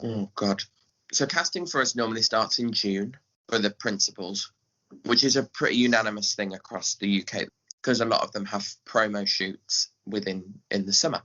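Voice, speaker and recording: A man's voice: fairly low pitch, normal speed, bright, forceful tone, muffled recording. A man with an English accent chatting casually as an interview guest, explaining his work as a theatre director. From England. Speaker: guest, pantomime director and scriptwriter.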